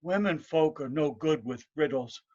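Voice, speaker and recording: A man's voice: on the high side, darkish tone, dull audio, natural voice. Man playing a dim, emotional young village lad. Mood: hesitant. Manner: halting, stammering, naive.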